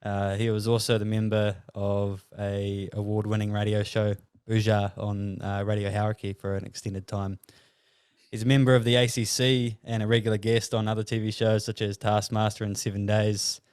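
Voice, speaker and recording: A man with a New Zealand accent hosting a podcast, reading a guest introduction with many halting fillers. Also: fairly low pitch, level tone, a little mellow, clear, resonant tone, dry recording, clean studio sound. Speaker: podcast host. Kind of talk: casual podcast interview and introduction.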